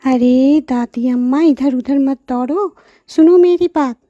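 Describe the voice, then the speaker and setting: A woman voicing a clever young girl in a children's cartoon, calling out and coaxing in drawn-out pleas. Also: sits high, dark tone, no room sound, highs cut off, voice pushed up.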